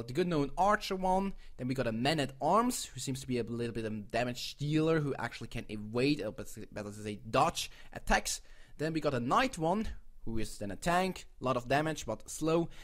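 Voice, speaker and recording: A man from Switzerland with a Swiss accent, speaking English as a non-native host in a casual, rambling, playful commentary, often correcting himself. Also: medium pitch. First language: a language other than English. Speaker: host of a video channel. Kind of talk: casual commentary on game crowdfunding projects.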